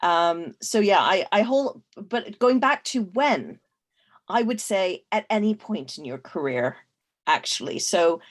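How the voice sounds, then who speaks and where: A woman's voice: medium pitch, expressive, unhurried. Thoughtful Canadian-born woman panelist. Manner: thoughtful, with frequent fillers and restarts. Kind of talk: casual panel discussion.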